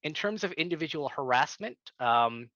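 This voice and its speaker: A young man from Canada talks through a video call in an earnest, halting way, often restarting his sentences. His voice is bright and forward, recorded close and dry with slightly dull, lo-fi sound. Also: on the high side, animated, dry recording. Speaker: tenant organizer. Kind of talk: online workshop talk. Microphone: computer mic on a video call.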